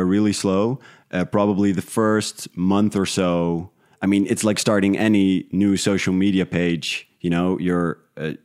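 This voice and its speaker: Deep-voiced man in a casual interview. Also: darkish tone. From Netherlands. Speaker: podcast creator, interview guest.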